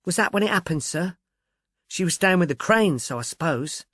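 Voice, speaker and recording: A timid young working-class woman, acted by a male narrator, polite and eager to defer. The pitch is lifted and moves about freely, with a hesitant, earnest delivery in audiobook dialogue. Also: lively intonation, normal speed, a bit bright, no room sound, clean studio sound. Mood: polite, unsure.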